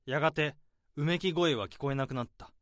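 A man in his thirties narrating a radio drama in the first person, in a slow, subdued, deadpan way. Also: fairly high pitch, no room sound, clean studio sound. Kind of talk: radio drama inner monologue.

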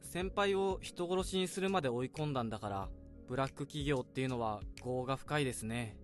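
An actor playing an eager young office junior. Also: male voice, medium pitch, fairly quick, music in the background, lightly acted. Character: earnest young male office junior, former baseball player. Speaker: junior coworker. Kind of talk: radio drama acting.

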